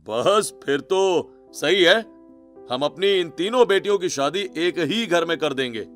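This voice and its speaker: A man's voice: a little high, expressive, a little slow, a bit bright. Stern middle-aged patriarch, played by a male narrator, raised emphatic voice, domineering, cartoon drama, music behind. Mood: pleased. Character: stern middle-aged family patriarch arranging his nieces' and daughters' marriages.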